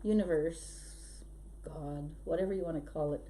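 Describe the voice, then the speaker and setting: Low, mellow-voiced woman telling stories. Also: normal speed. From Belgium, raised in Canada. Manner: chatty and storytelling, laughs often. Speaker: hypnosis trainer, podcast guest. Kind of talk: casual interview chat. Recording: faint background noise.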